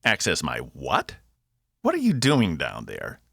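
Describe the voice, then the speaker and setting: Man as vain robot sidekick, Cockney accent, lively pitch, forceful, teasing, grandiose, sci-fi audiobook. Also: measured pace, creaky, breathy edge, no room sound, clean studio sound, heavily acted. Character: cheeky, vain, theatrical male robot sidekick.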